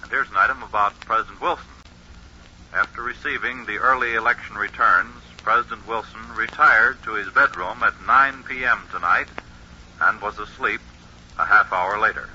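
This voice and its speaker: An actor playing an eager 1920s radio announcer in a radio drama, relaying bulletins in a formal, emphatic way. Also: male voice, lowish voice, moderate pace, pushed and bright. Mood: formal, steady. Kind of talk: 1940s radio drama acting, news bulletin delivery. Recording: muffled, noisy vintage 1940s radio recording.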